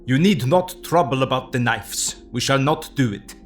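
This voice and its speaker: An actor playing a stern, righteous old professor in an audio drama, solemn and deliberate in his foreign phrasing. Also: mid-range voice, faint music behind, natural voice.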